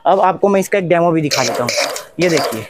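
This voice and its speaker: A man's voice: on the high side, lively intonation, quick pace, very noisy. A young man narrates a product demonstration vlog in a repetitive, instructional manner. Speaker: tech review channel host.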